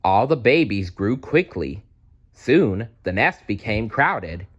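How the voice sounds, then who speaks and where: A man reading a children's storybook aloud as narrator, in a dramatic, deliberate way. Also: fairly low pitch, sing-song, dull audio. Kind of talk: expressive children's read-aloud.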